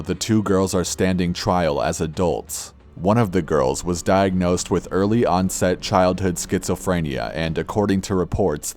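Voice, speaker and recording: A man reading a scripted true-crime narration in a measured, somber manner. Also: sits low, a little mellow. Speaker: narrator of horror videos. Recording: background music under narration. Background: music.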